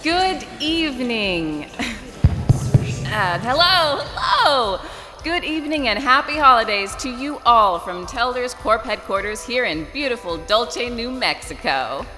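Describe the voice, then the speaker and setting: A harried woman emceeing a corporate holiday broadcast, bright and bouncing all over her range as she trades curses with the crew. Her voice rings with a long hall reverb, with music under it. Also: on the high side, sing-song, a little slow, heavily acted. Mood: nervous formal. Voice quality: profane, exasperated, bickers. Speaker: corporate event emcee named Grace. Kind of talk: audio drama acting.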